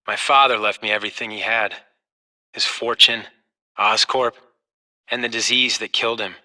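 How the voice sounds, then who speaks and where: Driven young corporate heir. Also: male voice, lowish voice, steady tone, normal speed, sharp tone, poor audio. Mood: grim, determined.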